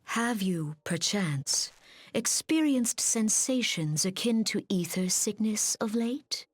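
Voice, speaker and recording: An actress playing a serene, wise mystic leader in a fantasy game, speaking formally and gravely with measured, archaic diction. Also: female voice, medium pitch, lively intonation, dry recording, clean studio sound. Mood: hushed wonder. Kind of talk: scripted fantasy game cutscene acting.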